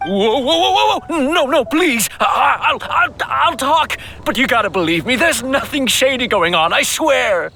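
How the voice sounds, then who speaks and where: A man's voice: very high-pitched, animated, unhurried, hardly any pauses, pushed and bright, slight background noise, full character voice. A man playing a nervous, flustered young card player in a video game, stammering and defensive. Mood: panicked.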